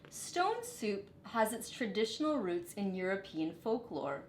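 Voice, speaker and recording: A woman reads a children's storybook aloud with lively, swinging intonation. Her phrasing is dramatic and expressive. The room is echoey, with a faint low rumble behind her. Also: takes it slowly. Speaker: storybook reader.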